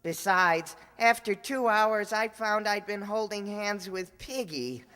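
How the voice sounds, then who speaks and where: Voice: mid-range voice, takes it slowly, bright, forceful tone. A performer playing a grumbling, scornful young boy in old-time radio comedy style. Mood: disgusted. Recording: long hall reverb.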